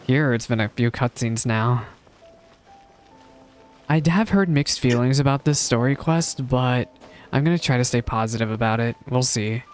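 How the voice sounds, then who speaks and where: Casting: man, average pitch, informal streamer commentary, thinking out loud over soft game music. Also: moderate pace. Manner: musing aloud, chatty asides. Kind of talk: casual game reaction commentary. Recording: faint game music behind.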